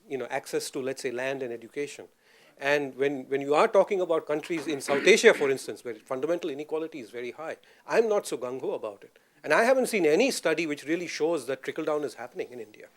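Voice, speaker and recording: Male audience commenter, measured and thoughtful, slightly higher pitch. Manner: thoughtful, measured, many fillers. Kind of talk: public Q&A remark.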